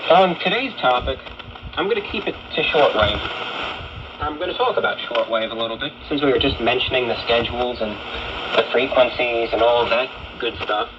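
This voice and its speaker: A male radio host talks in a steady, earnest monologue, with occasional hesitations and lines he stresses firmly. His voice sits in an ordinary middle pitch. It comes through a dull, narrow shortwave receiver with clear static hissing underneath. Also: normal speed. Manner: earnest, steady delivery with frequent "uh" fillers, rising to emphatic stresses. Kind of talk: radio talk show monologue.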